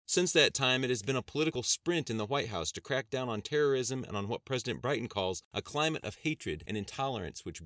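A man's voice: medium pitch, no echo, clean studio sound, pitched above the performer's own voice, natural voice. A man voicing a female television talk show host with a brassy, announcing delivery in an audiobook. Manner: bright, announcing broadcast delivery.

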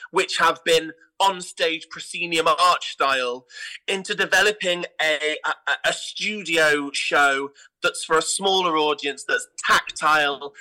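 A man's voice: high-pitched, bright, forceful tone, low-quality recording. A man chatting casually as an interview guest, talking in an enthusiastic, effusive way about his work as a theatre director.

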